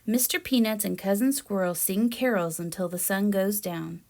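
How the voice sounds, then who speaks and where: A woman reading a children's picture book aloud in a deliberate, expressive storytelling manner. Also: mid-range voice. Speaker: read-aloud storyteller, teacher-like presenter.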